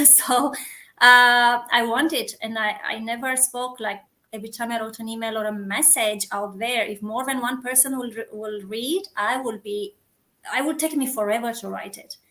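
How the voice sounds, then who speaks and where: A woman's voice: fairly high pitch, moderate pace, pushed and bright. A woman with a Romanian accent speaks in a slightly raised voice, talking earnestly about her community. She often restarts and searches for the right English word. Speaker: community founder, guest. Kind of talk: casual interview chat.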